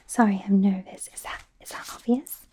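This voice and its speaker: A woman's voice: mid-range voice, fairly even intonation, unhurried. A woman playing a nervous, friendly female werewolf doctor in a whispered ASMR roleplay, hesitant, stammering and apologetic. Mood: nervous, flustered. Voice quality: soft, breathy whisper.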